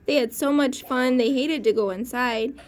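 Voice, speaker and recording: A woman teacher reading a storybook aloud to young children, expressive and pausing to ask questions. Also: high-pitched, faint music behind.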